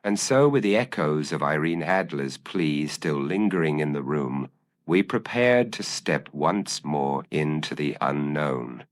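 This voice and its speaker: A man narrating a first-person detective audiobook in a measured, formal, literary manner. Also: very deep voice, expressive.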